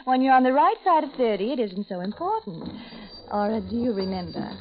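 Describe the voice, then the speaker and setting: A catty, sugary jealous wife. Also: female voice, a little high, sing-song, a little fast. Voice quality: sweetly sarcastic, cooing insults. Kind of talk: 1940s radio acting. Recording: dull old radio recording with faint hiss.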